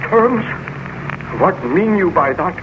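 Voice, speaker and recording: An actor playing a haunted, defiant scientist with an earnest, formal, theatrical delivery in 1930s radio horror style. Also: male voice, high voice, animated, slow. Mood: agitated. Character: haunted, defiant male scientist, maker of a monster. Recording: muffled, noisy old 1930s radio recording.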